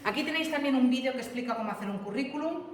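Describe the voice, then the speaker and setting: A woman's voice: high-pitched, racing, crowd noise. A woman teacher giving a classroom lecture, explaining step by step and often checking that students follow. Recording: echoey classroom from far off.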